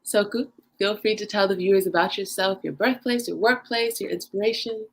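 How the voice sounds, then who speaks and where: A woman's voice: mid-range voice, steady tone, slight background noise. A woman hosting a casual podcast on a livestream, upbeat and chatty. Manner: upbeat, chatty, drawn-out emphasis. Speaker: podcast host.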